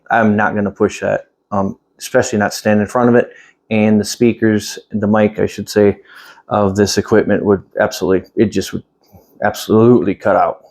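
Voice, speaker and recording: A man's voice: fairly low pitch, a little mellow. A man giving a casual, hands-on product demonstration indoors, thinking aloud in a plain-spoken, rambling way. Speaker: product reviewer. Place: indoors at home.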